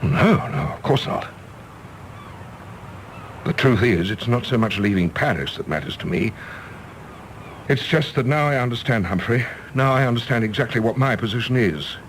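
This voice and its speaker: An actor playing a disillusioned middle-aged English diplomat, bitter and self-mocking, in an impassioned radio-drama style. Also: male voice, mid-range voice, expressive, normal speed, pauses often, a little hiss or hum, lightly acted. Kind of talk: stage-play radio drama acting.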